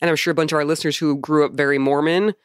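A woman's voice: sits low, steady tone, rapid-fire, smooth. A middle-aged woman co-hosting a podcast in casual conversation, chatty and self-deprecating. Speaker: podcast co-host.

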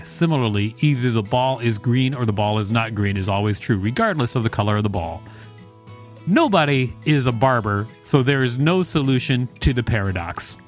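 A man with a mid-pitched voice speaks as a podcast co-host. His delivery is conversational and wry, moving between read-aloud text and casual banter. Music plays behind him. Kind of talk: reading an encyclopedia article aloud with casual banter.

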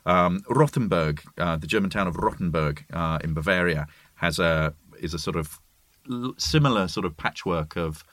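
A man's voice: deep voice, moderate pace, a bit bright, no room sound. A middle-aged man from near York, co-hosting a casual chat and describing places in eager detail. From near York, England. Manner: eager agreeing, descriptive storyteller.

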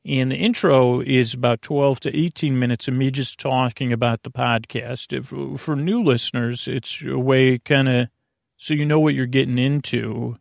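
A man hosting a bedtime podcast in a rambling, halting monologue, circling back and losing his thread, with a creaky, gravelly voice. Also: medium pitch, fairly even intonation, no room sound, clean studio sound. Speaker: podcast host. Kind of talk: rambling bedtime monologue to help listeners sleep.

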